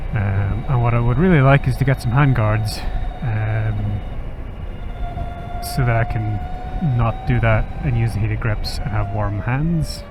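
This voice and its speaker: A man vlogging in a casual, rambling monologue while riding a motorcycle, thinking aloud with hesitant pauses, sounding a little sniffly from the cold. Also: mid-range voice. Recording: muffled audio, loud wind and engine noise. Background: wind, road and motor vehicle noise.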